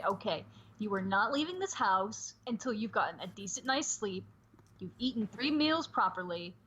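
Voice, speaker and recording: A woman's voice: mid-range voice, fairly bright tone, slight background noise. A woman chatting casually and earnestly as a guest on a livestream, over dull, lo-fi call audio. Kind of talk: casual chat.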